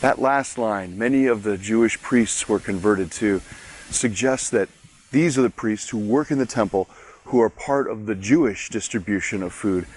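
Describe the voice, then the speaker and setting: A man's voice: on the low side, normal speed, poor audio. A man, a pastor, giving a conversational daily devotional, explaining a Bible chapter with frequent restarts.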